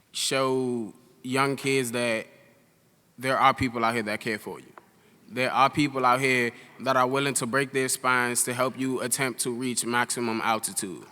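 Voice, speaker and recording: A young man delivers a heartfelt speech. His voice is bright and very clear, ringing with long reverb in a large hall. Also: mid-range voice, fairly even intonation, unhurried.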